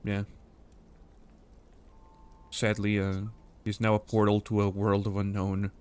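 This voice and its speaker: A man's voice: fairly low pitch, completely flat delivery, takes it slowly, a little mellow, smooth. Rambling, joking man hosting a stream. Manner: rambling and joking, with many fillers. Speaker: stream host. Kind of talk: casual group chat on a livestream.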